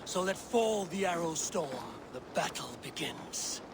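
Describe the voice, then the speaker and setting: An actor playing a bold, wary, poetic Viking warrior in a video game cutscene, speaking directly. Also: male voice, on the high side, lively intonation, unhurried, gravelly, noisy background. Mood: bold resolve. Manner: direct, declaiming.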